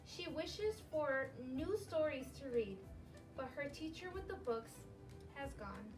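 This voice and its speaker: A woman teacher reading a picture book aloud to young children in an expressive, clearly phrased storytelling way. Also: sits high, slow pace, distant mic, music in the background, rough recording.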